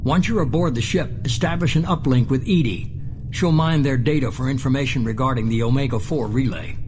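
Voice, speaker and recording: A man acts a secretive game spymaster who is sure of himself. His medium-pitched voice briefs deliberately and persuasively. There is clear ambient noise behind him. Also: a little mellow, close to an everyday voice. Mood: assured, persuasive. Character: assured, secretive male spymaster giving orders. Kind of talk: sci-fi game voice acting.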